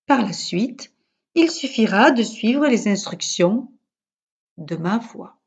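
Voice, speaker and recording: A woman yoga teacher guiding a relaxation session in a calm, measured, soothing manner with pauses. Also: mid-range voice, breathy edge. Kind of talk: guided yoga nidra relaxation instruction.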